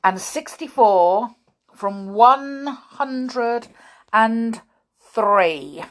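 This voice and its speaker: A woman's voice: a little high, expressive, drawn-out words, voice pushed up. A woman voicing a stern, menacing old male schoolmaster in a storybook, thundering and growling his threats.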